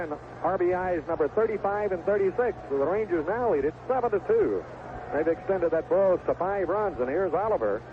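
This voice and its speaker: A man calling live baseball play-by-play on the radio in a folksy, colorful way, recorded at the ballpark. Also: sits high. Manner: folksy, colorful turns of phrase. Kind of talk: live play-by-play sports commentary. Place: outdoor ballpark broadcast booth. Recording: tinny, noisy 1970s AM radio broadcast.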